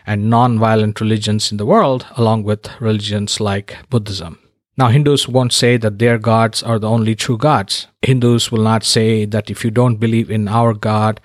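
A man's voice: medium pitch, no echo, studio quality. A man from India hosting his own podcast, delivering a scripted monologue in an earnest, emphatic way with wry asides. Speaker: podcast host.

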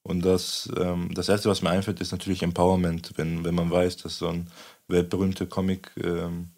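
A man with a very low voice and an even, flat delivery speaks as a guest in a relaxed chat. He talks haltingly, searching for words. His first language is Romani. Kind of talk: casual conversation.

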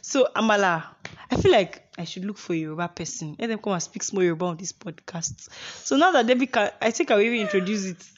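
A woman's voice: mid-range voice, expressive, fast, hardly any pauses, dull audio. A young Nigerian woman hosting a podcast in casual chat, playful and teasing, often laughing. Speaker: podcast host.

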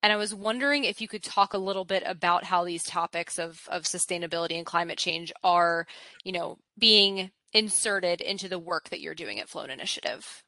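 A woman's voice: on the low side, brisk, pushed and bright, no room sound. A woman hosting a virtual panel, affirming her guests enthusiastically and leading into questions. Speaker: moderator, host. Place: indoors, virtual event call.

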